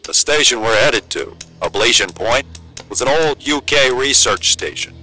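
A man's voice: mid-range voice, lively intonation, moderate pace, sharp tone, rough recording. A man playing a sceptical, worried friend in a drama trailer, blunt, colloquial and urgent.

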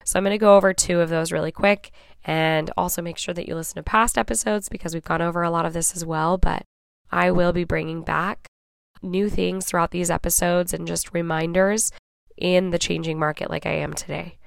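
A woman hosting her own podcast in a casual, chatty way, hoarse and raspy from a cold, often checking in with "right?" and "okay?". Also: fairly low pitch, steady tone, a little fast, dry recording. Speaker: podcast host. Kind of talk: casual solo podcast talk.

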